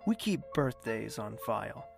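A man playing a mysterious, suave admirer in a romantic fantasy audio drama, gravelly, courtly and flirtatious. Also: lowish voice, big pitch swings, a little slow, soft background music, heavily acted. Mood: tender. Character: mysterious suave male admirer, gentle and flattering.

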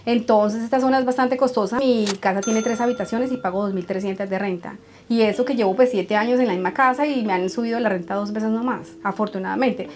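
A woman's voice: on the high side, fast, hardly any pauses. A woman, speaking fluently and chattily inside a small shop. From Colombia.

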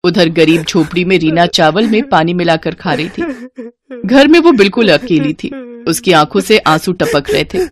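A woman's voice: lowish voice, lively intonation, moderate pace. A woman storyteller reading scripted narration for a moral story.

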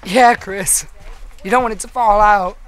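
A woman as a slow-talking, teasing young man. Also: medium pitch, faint music behind, close to an everyday voice. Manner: whining, teasing. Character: troubled, teasing young man, college-age son. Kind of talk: amateur radio play acting.

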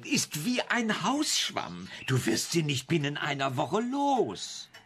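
An actor playing a gloomy, drily sardonic gentleman in a German radio play. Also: on the high side, wildly swooping pitch, takes it slowly, a little hiss or hum, heavily acted. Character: dry, gloomy English gentleman, drolly sardonic.

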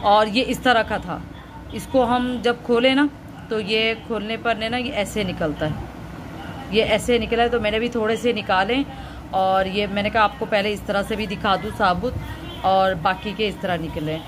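A woman's voice: medium pitch, steady tone, moderate pace. A woman vlogger chatting casually to her viewers as she shows them things at home. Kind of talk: casual home vlog chat. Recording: noisy background.

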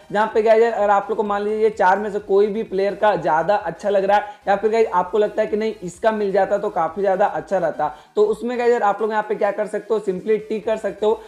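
A man's voice: sits high, darkish tone. An Indian man you would hear on a mobile gaming channel, walking viewers step by step through a menu in a repetitive, informal way. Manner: repetitive, constantly addressing viewers as guys. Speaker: gaming video creator. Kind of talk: informal tutorial voice-over.

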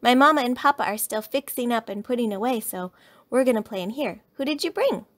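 A woman's voice: fairly high pitch, lively intonation, a little fast, a bit bright, pitched above the performer's own voice. A woman voicing a bossy six-year-old girl bear cub from a storybook, with a commanding, childish teacherly manner. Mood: inviting. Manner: commanding, schoolmarmish childish delivery.

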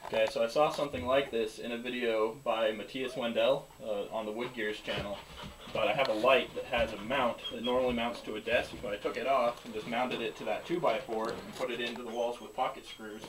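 A man calmly explaining his woodworking setup in a small backyard wooden workshop. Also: mid-range voice, a little fast, noisy background. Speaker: young woodworker showing his shop. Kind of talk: casual explanation.

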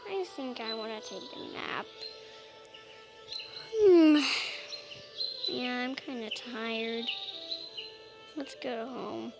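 A woman's voice: fairly high pitch, drawn-out words, long pauses, music in the background. A woman talking casually to herself with little hums as she roleplays a character in a video game. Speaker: gameplay video player. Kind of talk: casual solo roleplay as a dragon while playing.

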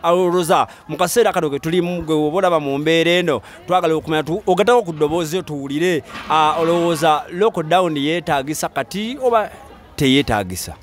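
Street interview host: man, Ugandan, quick delivery, high register, playful and repetitive presenting. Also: a little hiss or hum. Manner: animated, repetitive, bantering. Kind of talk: lively vox-pop presenting. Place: busy open-air market.

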